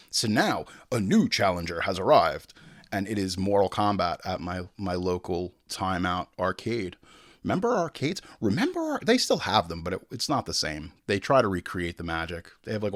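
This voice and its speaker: A man's voice: sits low, no echo, studio quality. A middle-aged American man from Long Island hosting a film podcast in a casual, joking, hyperbolic monologue with some swearing. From Long Island, New York, United States.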